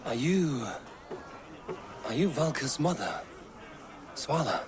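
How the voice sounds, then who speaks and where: An actor playing a wary, awestruck Viking warrior in a video game, speaking in a halting, solemn, wondering way. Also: male voice, on the high side, expressive, noisy background. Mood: awed. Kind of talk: dramatic video game acting.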